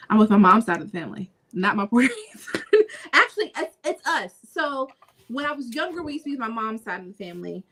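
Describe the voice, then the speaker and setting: A woman's voice: fairly high pitch, big pitch swings, quick pace, sharp tone, a little hiss or hum. A young adult woman co-hosting a podcast in casual chat, talking with lots of fillers and frequent laughter. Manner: chatty, laughs often, many fillers. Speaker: podcast co-host.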